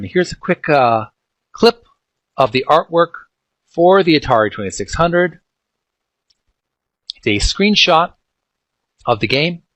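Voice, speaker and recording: Man with halting delivery, dry close recording. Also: medium pitch, fairly bright tone, no echo, rough recording. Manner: halting, piecemeal phrasing with repeated words. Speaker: video game channel host. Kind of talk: informal read-aloud and commentary on retro game art.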